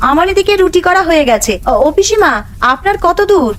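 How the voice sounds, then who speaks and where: মহিলার কণ্ঠে চড়া গলার গ্রাম্য গৃহবধূ. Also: female voice, very high voice, lively intonation, a little fast. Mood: respectful, chatty. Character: young village housewife, dutiful, anxious daughter-in-law. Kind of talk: Bengali animated ghost-story voice acting.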